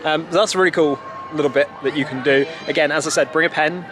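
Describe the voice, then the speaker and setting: A man's voice: on the high side, steady tone, fast talker. A young British man giving chatty, improvised commentary as he films a casual walk-around vlog outdoors with a camera at arm's length. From Britain. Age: young adult. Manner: chatty, loosely improvised observations. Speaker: travel vlogger. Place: outdoors in a busy theme park. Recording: outdoor crowd chatter and heavy ambient noise.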